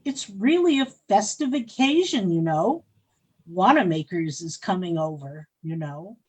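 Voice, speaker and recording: A woman's voice: lowish voice, lively intonation, unhurried, husky, rough recording. A woman playing a haughty, snobbish society lady in a radio play, with a pompous, affected delivery. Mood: gushing, snobbish.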